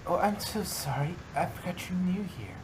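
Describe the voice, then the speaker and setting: A woman voicing a timid, gentle, apologetic female cartoon pony with a hesitant, earnest manner, in a fan fiction reading. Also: on the low side, expressive, normal speed. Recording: very noisy background hiss and ambience.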